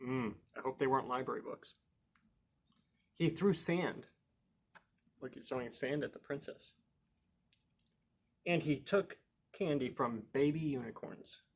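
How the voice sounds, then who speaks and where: Man reading a picture book aloud, playfully expressive. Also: mid-range voice, breathy edge. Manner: playful, expressive, emphatic with drawn-out key words, asks listeners questions. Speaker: library storytime reader and host. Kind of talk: animated picture-book read-aloud for children, with chatty asides.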